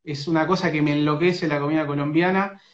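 A man's voice: fairly high pitch, steady tone, brisk. A man with an Argentine Rioplatense accent, interviewing a young footballer over a phone live stream, talking in hesitant stops and restarts. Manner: hesitant, many fillers and restarts. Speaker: sports radio interviewer. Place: indoors, small room. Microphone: phone front camera. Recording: roomy, muffled phone audio.

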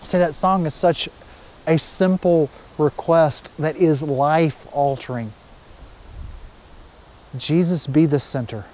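A man's voice: a little high, slow, pauses often, darkish tone. A middle-aged man, a pastor, giving an informal, conversational sermon outdoors on a lapel mic. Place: outdoors, under trees by water. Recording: faint outdoor background noise.

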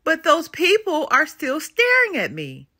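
Storybook papa bear, shy and panicky, high and bright, acted by a woman. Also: huge pitch swings, measured pace, heavily acted. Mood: pleading. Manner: anxious, stammering. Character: flustered, self-conscious male papa bear with stage fright. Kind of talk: children's storybook read-aloud.

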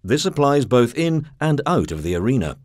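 A man's voice: mid-range voice, very animated, moderate pace, a little mellow, no room sound, clean studio sound. A man reading a formal promotional narration. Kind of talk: scripted narration.

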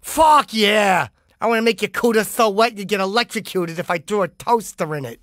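A man's voice: sits high, normal speed, a bit bright, no room sound, studio quality. A man voicing a crude, horny, dim and boastful cartoon man, cocky and whiny. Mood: horny, excited.

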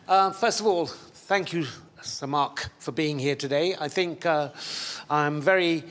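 Loud man asking a question from the floor. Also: fairly high pitch, unhurried. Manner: speaks loudly without a mic, earnest. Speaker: audience member asking a question. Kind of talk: audience question at a panel event. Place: conference room audience. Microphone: far room microphone, no handheld.

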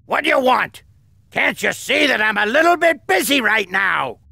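Game fighter: irritable, strained, husky and very forceful, dry recording, brash delivery. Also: male voice, extremely high pitch, big pitch swings, normal speed, no echo, heavily acted. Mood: agitated. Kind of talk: English game dub acting.

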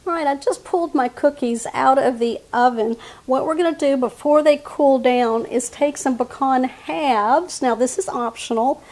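A woman's voice: sits high, darkish tone. A woman, giving friendly step-by-step baking instructions in her home kitchen. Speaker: cooking channel host. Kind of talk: casual cooking demonstration.

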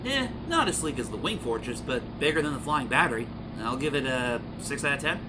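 A man playing a cocky, quick-witted young blue hedgehog hero in a comic dub, with a breezy, teasing manner. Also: mid-range voice, lively intonation, noisy background, close to an everyday voice. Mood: breezy, confident.

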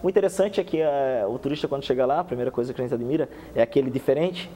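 A man's voice: medium pitch, big-room sound, a little hiss or hum. A young man speaking as a guest in a TV studio interview, explaining with many restarts, on a lapel mic. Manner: fast, animated explaining with many restarts and hand gestures. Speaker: guest researcher. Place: TV studio set.

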